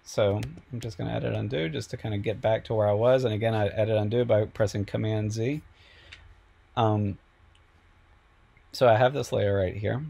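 A man teaching a software class over a screen recording, a little sick and losing his voice, explaining step by step with frequent ums. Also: on the low side, steady tone, moderate pace, vocal fry, pauses often. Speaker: instructor.

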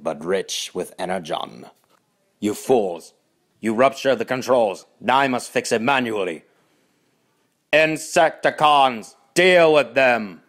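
A man voicing a cold, imperious robot conqueror with measured, formal speech for a cartoon audition. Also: fairly low pitch, close to an everyday voice. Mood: irritated, commanding.

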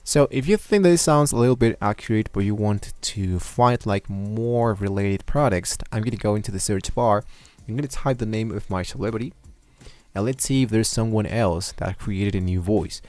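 Male tutorial host, somewhat low voice, casual and meandering, at a desk mic. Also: moderate pace, darkish tone, dry recording. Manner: loose, rambling, many fillers. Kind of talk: screen-recorded software tutorial. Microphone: desktop microphone at a computer.

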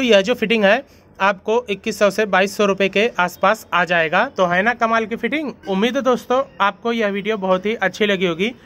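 High-voiced man hosting a plumbing channel. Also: fairly bright tone. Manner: friendly, repeatedly addresses viewers as friends. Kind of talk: casual explainer voice-over. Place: voice-over over animation.

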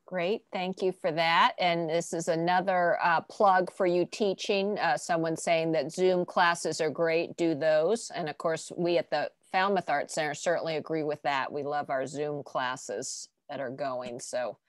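Host woman, lowish voice, dry close sound, organized moderator. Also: fairly even intonation, a little slow, hardly any pauses, dry recording. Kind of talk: hosting a virtual art reception.

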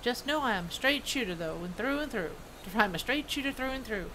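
A woman's voice: on the high side, animated, fairly quick, noisy background, lightly acted. A woman voicing a folksy, straight-shooting cowboy gnome from a video game, theatrical and full of Western bravado. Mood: folksy, boastful.

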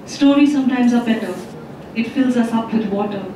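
Woman reading poetry in an echoey hall. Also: fairly high pitch. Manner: measured, expressive recitation. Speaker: poet. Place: festival stage, indoor hall. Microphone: handheld mic. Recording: echoey hall from far off, background noise.

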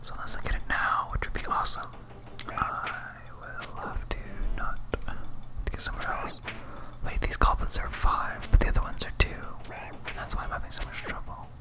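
Someone whispering casual, muttered ASMR gameplay commentary as a video game streamer. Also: normal speed. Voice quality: whispered, unvoiced.

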